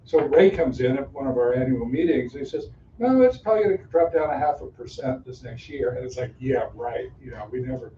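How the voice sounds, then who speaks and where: A man hosts an informal meeting, friendly and rambling, often repeating himself. His tone is dark and mellow. He sits away from the mic, and the room echo is heavy on a dull recording. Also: medium pitch, lively intonation, fairly quick, slight background noise. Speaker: meeting host. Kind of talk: casual meeting introductions. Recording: distant, echoey, lo-fi.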